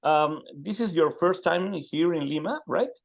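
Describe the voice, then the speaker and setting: A man's voice: on the high side, unhurried, gravelly. A man with a Peruvian Spanish accent, a native Spanish speaker working as a radio interviewer, searching for words as he asks conversational questions. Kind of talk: conversational interview in a second language.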